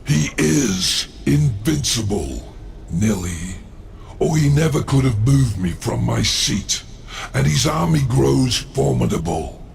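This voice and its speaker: An actor playing a proud, scheming demon lord in a video game, speaking with gravelly, imperious menace. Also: male voice, lively intonation, a little hiss or hum, full character voice. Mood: calculating, menacing. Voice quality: gravelly, low. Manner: imperious, deliberate, silky menace. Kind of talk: video game cutscene acting.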